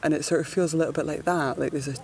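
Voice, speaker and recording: A Scottish woman talking in a relaxed, reflective way while floating down a river outdoors. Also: sits low, rapid-fire, creaky, a little hiss or hum. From Scotland. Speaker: presenter, endurance cyclist. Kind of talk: casual outdoor narration.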